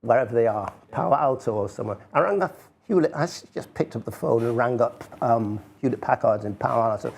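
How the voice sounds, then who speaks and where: An older man giving an informal, unscripted talk as an artist, halting and rambling, with a self-deprecating manner. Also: mid-range voice, creaky, a little mellow.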